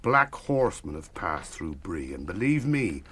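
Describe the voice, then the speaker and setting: An actor playing a mysterious, shrewd and commanding wanderer in a radio drama, with an English accent and a measured, formal delivery. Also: male voice, low voice, very animated, fairly bright tone, a little hiss or hum, heavily acted. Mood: urgent warning.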